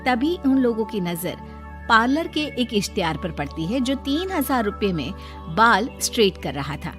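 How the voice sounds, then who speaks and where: A woman storyteller delivering scripted narration for an animated moral story. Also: on the high side, moderate pace, over music.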